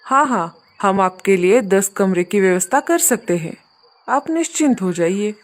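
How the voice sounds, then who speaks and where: A woman's voice: medium pitch, lively intonation, a little slow, lightly acted. A woman voicing a polite, earnest male crow who runs a hotel in a children's cartoon story.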